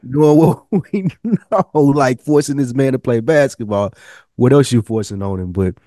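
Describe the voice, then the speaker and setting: A man's voice: mid-range voice, normal speed, dark tone, dry recording, studio quality. A man co-hosting a podcast in casual chat, blunt and slangy with frequent swearing. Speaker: podcast co-host.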